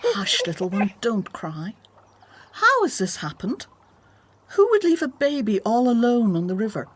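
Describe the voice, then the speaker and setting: Imperious princess, animated delivery. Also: female voice, a little high, unhurried, husky, heavily acted. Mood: excited, tender. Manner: commanding, haughty. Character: imperious, willful Egyptian princess, softening to babies. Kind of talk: radio drama acting.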